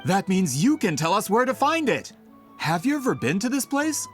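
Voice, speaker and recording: A man playing an exacting, skeptical gentleman scholar in an anime game, formal and insistent. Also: sits high, sing-song, normal speed, soft background music. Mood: probing.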